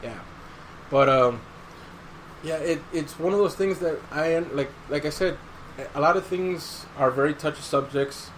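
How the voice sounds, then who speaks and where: A man's voice: on the high side, measured pace, a little hiss or hum, rough recording. A man hosting a podcast in casual chat, swearing freely and giving his opinions in slangy talk. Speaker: podcast host.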